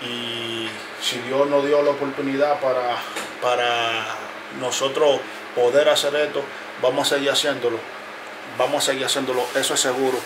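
A man, a barber, talking to his viewers in a casual, earnest way, often repeating himself and pausing with fillers. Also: mid-range voice, little pitch movement, noisy background. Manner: earnest, repetitive, pauses with fillers. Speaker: barber and video creator. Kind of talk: casual talk to camera. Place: closed barbershop, indoors.

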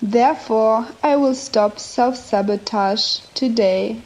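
A woman narrating a scripted guided meditation with affirmations, in measured short phrases separated by long pauses. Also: a little high, a little mellow, rough recording. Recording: nature ambience behind the voice. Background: nature and ambient sounds.

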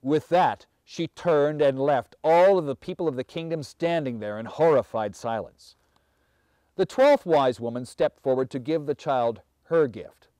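A man in his fifties reading a fairy tale aloud as a storyteller, with measured, theatrical and deliberate phrasing. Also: fairly high pitch, warm tone, no echo. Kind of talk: dramatic fairy tale narration.

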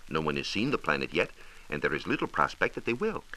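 An American man explaining science in a measured, precise, didactic way, in a scripted 1940s radio style. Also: rumbling low, sing-song, bright, forceful tone, poor audio. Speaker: science reporter explaining astronomy. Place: radio studio. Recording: hissy 1940s radio transcription disc.

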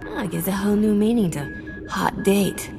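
A woman's voice: medium pitch, normal speed, gravelly, close to an everyday voice. An actress playing a sassy, tough female smuggler captain in a video game, with a sardonic, wisecracking manner. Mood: wry, teasing. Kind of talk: video game cutscene acting. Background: loud music.

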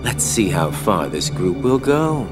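An actor voicing a cool, calculating male villain in a game, speaking in a calm, measured and cold way. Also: low-pitched. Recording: game cutscene with loud music.

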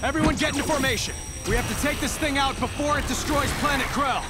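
An actor playing a heroic, steady young space pilot who leads his team with commanding earnestness in an animated action series. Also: extremely high pitch, fast talker. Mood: commanding, urgent. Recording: loud action music behind.

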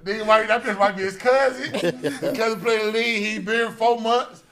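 A man's voice: high-pitched, moderate pace, fairly bright tone. A man hosting a podcast, laid-back and joking in slangy casual chat, in a studio. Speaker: podcast host. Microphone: boom mic on arm.

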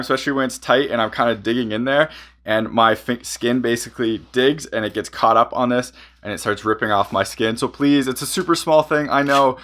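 Male reviewer, middle-pitched voice, talking through gear in a casual, conversational ramble. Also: a bit bright, a little hiss or hum.

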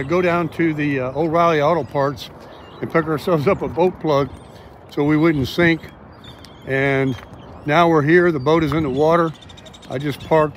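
An older man vlogging outdoors at a boat ramp, talking in a chatty, animated way with lots of fillers. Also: a little high, a little hiss or hum. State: out of breath at times. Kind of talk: casual vlog narration. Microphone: camera or selfie stick at arm's length.

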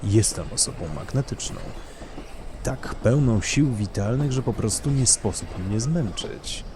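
An actor playing a courtly, boastful married gentleman in an audio drama, speaking in a gallant, formal and measured way as a charming flirt. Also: medium pitch, noisy background, lightly acted. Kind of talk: Polish audio drama dialogue.

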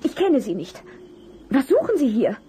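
High, defensive young woman. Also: big pitch swings, normal speed, warm tone, slight background noise. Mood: defensive, alarmed. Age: young adult. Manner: curt, defensive. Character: defensive, curt young female student caught out. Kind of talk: German children's detective radio play acting.

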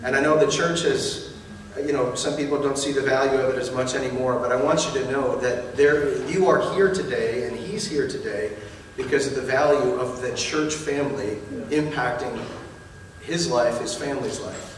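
A man in his forties leads a baptism as pastor, his talk light and encouraging. His voice sits in the middle range and is caught from a distance in a big reverberant hall, with steady room noise underneath. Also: medium pitch, moderate pace. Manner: warm joking, encouraging. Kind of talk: baptism address to congregation. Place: church stage in baptism tank. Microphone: handheld mic, distant room pickup. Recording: echoey hall from far off.

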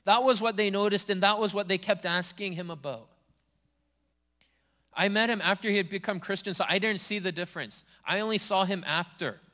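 Pastor, male, higher register, earnest repetitive preaching in a church hall with a long echo. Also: a little fast, pushed and bright. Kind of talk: sermon. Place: church sanctuary.

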